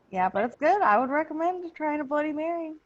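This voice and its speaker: A woman's voice: high voice, dry recording. A woman chatting casually in a group, in informal, slangy language with some swearing. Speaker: podcast cast member. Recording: dull lo-fi audio.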